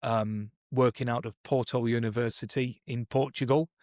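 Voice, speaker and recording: A man's voice: mid-range voice, fairly even intonation, normal speed, a little mellow, no room sound, studio quality. A man co-hosting a podcast, explaining coaching methods in a thoughtful, conversational way, often restarting his sentences. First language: English. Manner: thoughtful, many restarts and "you know"s. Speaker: podcast co-host, performance coach.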